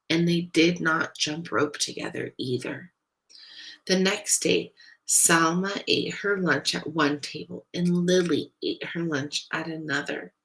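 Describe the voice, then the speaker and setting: A woman reading a picture book aloud to young children in an expressive, deliberate way, as a storyteller. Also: deep voice, vocal fry, rough recording. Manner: animated, deliberate, pauses to ask listeners questions.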